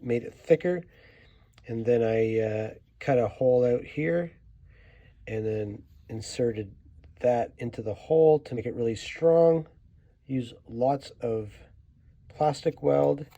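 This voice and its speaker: A man's voice: mid-range voice, mellow, no echo, lo-fi sound. Casual man in a close, dry recording. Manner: informal, thinking aloud while working. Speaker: host of a toy restoration tutorial. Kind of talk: casual hands-on how-to narration.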